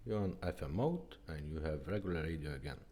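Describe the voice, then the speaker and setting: A man who is not a native English speaker gives a casual hands-on product demonstration as a restorer, explaining plainly and haltingly step by step. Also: extremely low pitch, animated, mellow, a little hiss or hum. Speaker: car radio restorer presenting a product demo.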